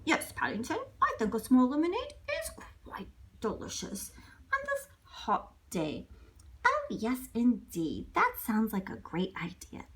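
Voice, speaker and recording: A kindly gentleman guide from a children's storybook, played by a woman. He is courteous and keen to explain, in a rather high voice with very animated swings of pitch. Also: measured pace, slightly rough, heavily acted. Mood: genial.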